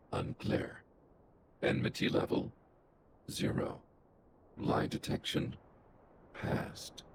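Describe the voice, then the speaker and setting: An actor voicing a huge, calm underworld robot boss who speaks in flat, clipped, computer-like reports through a robotic filter. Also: male voice, noisy background. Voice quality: deep, gravelly, processed. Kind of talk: studio video game voice acting. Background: game music.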